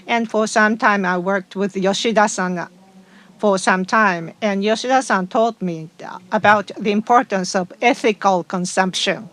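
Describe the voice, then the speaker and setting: A woman working as a conference interpreter, rendering a speech into English in a halting way with frequent fillers. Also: mid-range voice, a bit bright.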